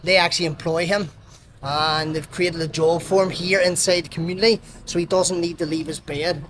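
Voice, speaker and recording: A man's voice: a little high, fairly even intonation, moderate pace. Belfast male travel vlogger, upbeat presenter, walking and talking outside, faint traffic hum. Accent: Belfast Northern Irish. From Northern Ireland. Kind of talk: travel vlog narration and interview. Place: outdoors in a city.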